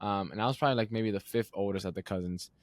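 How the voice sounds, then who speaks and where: A man's voice: fairly low pitch, fast, no room sound. Laid-back young male podcast host, dry close sound. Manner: relaxed and conversational, uses slang. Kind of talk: casual interview chat.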